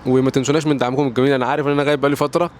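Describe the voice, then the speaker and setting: Young Egyptian guy, Egyptian accent, very fast rambling vlog talk, faint traffic outside. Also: medium pitch, level tone. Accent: Egyptian Arabic. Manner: rambling, many restarts and fillers, mixes in English words. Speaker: English pronunciation tiktoker. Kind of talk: casual advice vlog. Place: at home near a balcony door.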